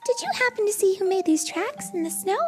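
Woman voicing sweet high-pitched young girl animal. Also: a little fast, a little mellow, soft background music. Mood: polite, curious.

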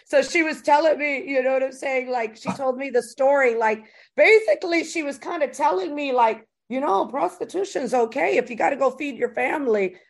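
Chatty lady podcast host, kinda high voice, super informal, slangy interview talk. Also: a little fast, creaky, few pauses. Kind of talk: casual conversational interview.

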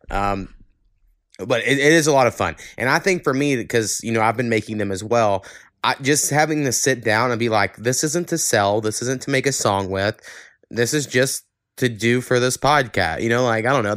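A man's voice: lowish voice, fairly bright tone, no room sound, clean studio sound. A man in his thirties hosting a podcast in a casual, conversational way. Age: 30s. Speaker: podcast host. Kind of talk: casual chat.

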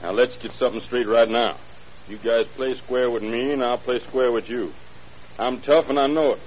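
An actor playing a cocky young killer with defiant, slangy tough talk in an old radio crime drama. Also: male voice, mid-range voice, fairly even intonation, normal speed, natural voice. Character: cocky young male killer, tough-talking street punk. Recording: muffled, hissy 1930s radio recording.

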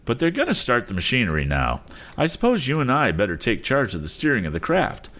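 A man's voice: mid-range voice, wildly swooping pitch, a little fast, fairly bright tone, pitched above the performer's own voice. A man voicing an eager, confident young inventor in a storybook adventure. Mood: eager, businesslike.

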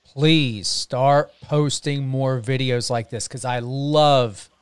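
A man hosting an interview, asking encouraging, unhurried questions. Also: mid-range voice, fairly even intonation, clear, resonant tone, no echo. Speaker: interview host. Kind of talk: casual interview chat.

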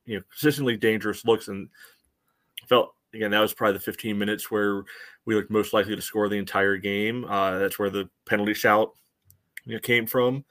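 A man's voice: on the low side, fairly even intonation, a little fast, a bit bright, dry recording. A man co-hosting a sports podcast, chatting casually as he analyses a soccer match.

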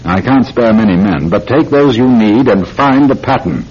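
Husky, gruff police inspector. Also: male voice, on the low side, animated, normal speed. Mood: urgent, commanding. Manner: brusque, authoritative. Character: gruff, harried senior British police inspector. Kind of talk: radio drama acting. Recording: dull radio drama recording.

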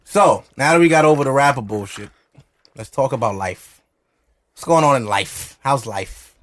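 Brash, animated New man. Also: mid-range voice, normal speed. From Brooklyn, New York. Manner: brash and provocative, laughs often. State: drinking alcohol while recording. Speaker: podcast host. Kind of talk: loose, profane casual chat. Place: studio.

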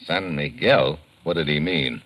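Deep-voiced, tough American agent. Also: male voice, measured pace, a bit bright. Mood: probing, firm. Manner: clipped, businesslike. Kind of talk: 1940s radio drama acting. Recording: noisy old radio recording.

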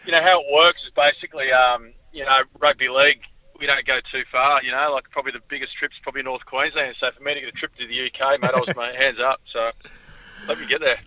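A man in his forties with an Australian accent, a former rugby league player, talking as a guest in a relaxed, rambling way full of ums. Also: mid-range voice, fast. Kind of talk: casual interview. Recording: tinny phone line.

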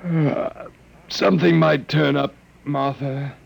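An actor playing a weary, wry human survivor in a classic radio drama, halting and informal, with a gravelly edge. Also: male voice, a little high, fairly even intonation, exaggerated voice. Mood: exhausted, defeated.

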